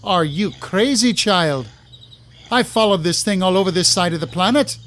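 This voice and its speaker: A man's voice: sits high, lively intonation, moderate pace, a bit bright. A man playing a menacing, mocking monster hunter, folksy and condescending, in a dramatized audiobook. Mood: scornful, hardened. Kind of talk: dramatized audiobook villain acting.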